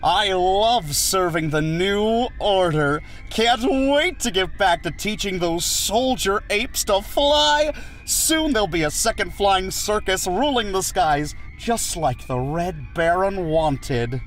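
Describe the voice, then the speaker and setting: Gung-ho flyboy, eager and over the top, voice jumps all around, pulp audio drama. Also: male voice, high voice, lively intonation, slow, fairly bright tone, faint music behind, full character voice. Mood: fawning zeal. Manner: eager, declamatory. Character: gung-ho, boyish heroic male aviator, zealous and excitable.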